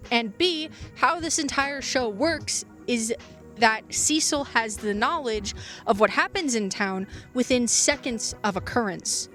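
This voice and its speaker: A woman with a fairly high, clearly bright voice narrates a scripted video essay. Her phrasing is wry and emphatic, with informal joking asides. Soft music plays behind her. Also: lively intonation, unhurried.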